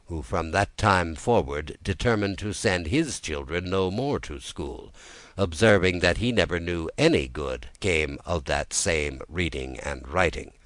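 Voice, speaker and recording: A man narrating a classic story as a soothing bedtime audiobook, in a gentle and measured manner. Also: low-pitched, no room sound.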